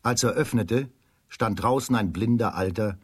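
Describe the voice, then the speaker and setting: Low, husky male storyteller. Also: normal speed, no echo, clean studio sound. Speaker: narrator. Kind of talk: scripted storytelling narration for children.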